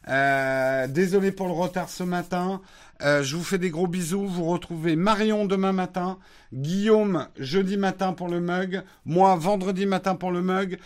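A man hosting a morning live stream, chatting casually through viewer questions. His voice sits a little higher than most men's. He talks in a relaxed, meandering way, often pausing on fillers and restarting his sentences. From France. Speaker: host of a morning live show answering chat.

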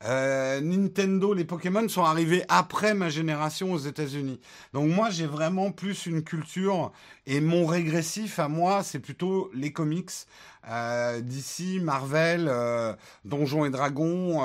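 A fairly high-voiced man hosts a relaxed tech livestream and answers the chat. He talks loosely, with plenty of fillers and jokey asides. He was born in France and raised in the United States, and English is his first language. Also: a little slow. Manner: relaxed, chatty, many fillers, joking asides. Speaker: tech channel host answering live chat questions.

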